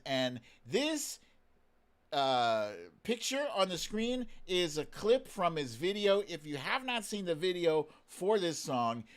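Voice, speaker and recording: A man's voice: on the high side, fairly bright tone. A man hosting a casual live stream, chatting playfully with exclamations as he addresses his viewers.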